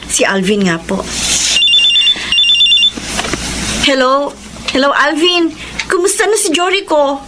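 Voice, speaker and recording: A woman's voice: high-pitched, big pitch swings, moderate pace. An actress playing a worried, caring and forgiving young woman in a Filipino radio drama. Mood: concerned. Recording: clear background noise.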